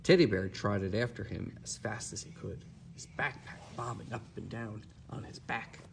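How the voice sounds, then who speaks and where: A man reading aloud to a child in an expressive, animated storytelling manner. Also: fairly low pitch, normal speed, gravelly, a little hiss or hum. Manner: animated, acts out character lines. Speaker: storybook reader. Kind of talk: expressive read-aloud of a children's story, then casual chat.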